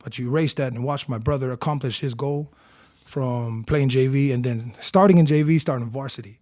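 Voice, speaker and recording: A man in his forties from Tonga, telling animated stories about his youth as an interview guest. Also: medium pitch, fairly quick, no echo, studio quality. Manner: animated storyteller, acts out quotes. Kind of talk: casual storytelling interview.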